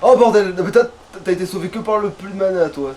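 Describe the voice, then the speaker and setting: A man's voice: sits high, fast talker, a little mellow. A man chatting casually and slangily as he plays a video game, swearing freely. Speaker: let's play gamer. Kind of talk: casual gameplay chat. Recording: noisy, distant mic, game ambience.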